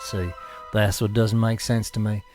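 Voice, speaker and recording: A man's voice: fairly low pitch, little pitch movement, a little slow, a little mellow, faint music behind, close to an everyday voice. An actor playing a grieving, suspicious man with sombre delivery in an audio drama. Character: Mark, grieving, suspicious bereaved cousin.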